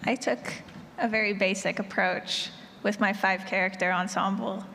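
A woman's voice: mid-range voice, fairly even intonation, normal speed. A woman speaking casually as a panelist and podcast creator. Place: large room at a convention panel. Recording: echoey hall, clear background noise.

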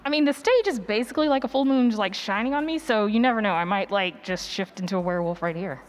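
A woman's voice: medium pitch, fast. A woman presenting a workshop, chatting casually and playfully with her audience from a stage. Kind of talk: casual chat with audience before a talk. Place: on a stage at a live conference session. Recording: noticeable background noise, live event ambience.

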